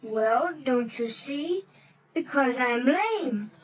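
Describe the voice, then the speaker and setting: A woman's voice: high voice, lively intonation, drawn-out words, breathy edge, heavily acted, low-quality recording. A woman playing a small sickly boy in a radio drama, sweet and earnest.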